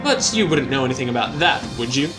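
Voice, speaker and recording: A flamboyant young male swordsman who teases with smug theatrical flair and French phrases, as a man voices him. His voice swoops widely in pitch. Loud game music runs behind. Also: animated, fast, lightly acted. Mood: needling. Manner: teasing, theatrical, sprinkles French words.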